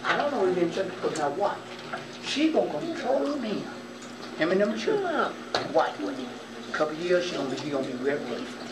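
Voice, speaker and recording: A man's voice: sits high, big pitch swings, brisk, heavy background noise. An older man preaching an impassioned, colloquial sermon, emphatic and repetitive, stumbling over words in bursts. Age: older, 60s or 70s. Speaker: pastor preaching. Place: indoor church sanctuary, pacing before congregation. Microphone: distant camera in the room. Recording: echoey church hall from far off, loud congregation.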